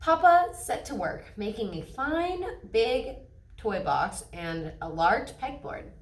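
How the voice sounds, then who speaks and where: A woman's voice: mid-range voice, big pitch swings, slow pace, far from the mic. A woman storyteller reading a children's picture book aloud expressively. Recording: echoey room.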